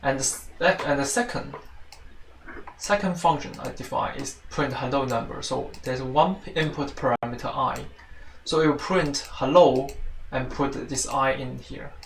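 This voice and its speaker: A roomy, slightly distant recording with faint noise carries a man with a Chinese accent. His mid-pitched voice has a creaky edge. He explains step by step like a teaching assistant, haltingly, circling back to repeat and reword his phrases. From China. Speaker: teaching assistant giving a programming tutorial. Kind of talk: informal spoken tutorial walkthrough.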